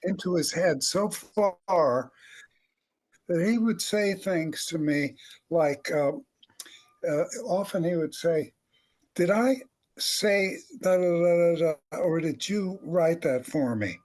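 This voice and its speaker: A man's voice: on the high side, slow pace, darkish tone. An older man, a comedy writer on a panel, telling anecdotes in a wry, rambling, chuckling way. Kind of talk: animated anecdotal panel talk.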